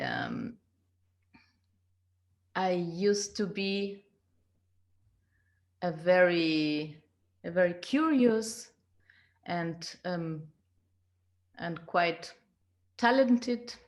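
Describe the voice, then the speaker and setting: Slow-talking woman in her fifties. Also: lowish voice. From Argentina. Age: 50s. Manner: slow, searching, repeats words. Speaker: guest, leadership coach. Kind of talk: interview answers. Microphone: video call.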